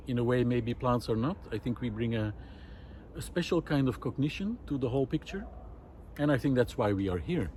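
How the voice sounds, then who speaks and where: A man's voice: mid-range voice, darkish tone, a little hiss or hum. A man giving an unscripted, reflective monologue outdoors in a wooded park, speaking in a thoughtful, hesitant way. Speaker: vlogger.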